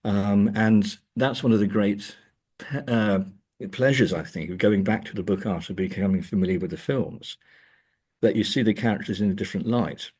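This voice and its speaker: A man speaking as an academic in a reflective interview, choosing words haltingly and often repeating them as he thinks. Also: on the low side, darkish tone, slightly rough. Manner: hesitant, halting, thoughtful phrasing with repeated words.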